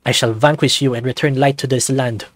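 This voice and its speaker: A man's voice: medium pitch, steady tone, a little fast, no echo, close to an everyday voice. A man voicing a heroic young male tiger warrior who speaks in a formal, lofty way. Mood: defiant.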